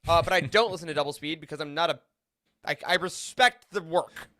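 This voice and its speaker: A man co-hosting a podcast in casual chat, with an animated, jokey, emphatic way of talking. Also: on the high side, fast. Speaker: podcast co-host.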